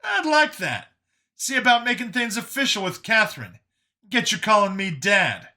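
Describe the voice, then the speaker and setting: A man's voice: sits high, sing-song, moderate pace, pushed and bright, pitched above the performer's own voice, full character voice. A man voicing a wry, breezy older man who teases like a would-be stepfather, in an audiobook story. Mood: cheerful, teasing.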